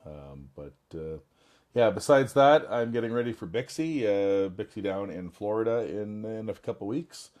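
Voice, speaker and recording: Brief: man with a very low voice, raspy and hoarse from a recent bug, casual business catch-up, halting delivery. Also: lively intonation, a little mellow. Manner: halting, many fillers and restarts. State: hoarse, recovering from illness. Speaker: business owner on a group call. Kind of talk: casual group video call.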